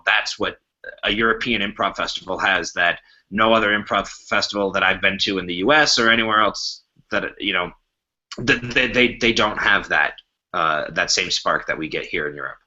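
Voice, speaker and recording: A man's voice: lowish voice, bright, forceful tone, dull audio. A man chatting informally and enthusiastically on a podcast. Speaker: podcast guest, improv festival organizer. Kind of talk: casual podcast discussion.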